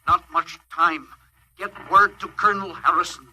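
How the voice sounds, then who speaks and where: An actor playing a desperate starship captain in an old radio drama, speaking urgently and haltingly over a noisy recording. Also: male voice, high voice, fairly even intonation, sharp tone. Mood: grave, urgent. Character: desperate male starship captain sending last warning. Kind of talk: 1950s radio drama acting.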